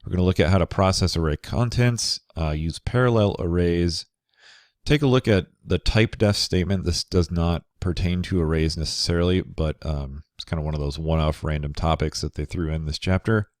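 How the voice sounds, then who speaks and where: Low-voiced man lecturing with a cold. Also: normal speed, no room sound, studio quality. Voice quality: slightly crackly. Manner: relaxed, conversational, many fillers. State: nasal from a cold. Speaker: programming instructor. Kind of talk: recorded lecture over slides.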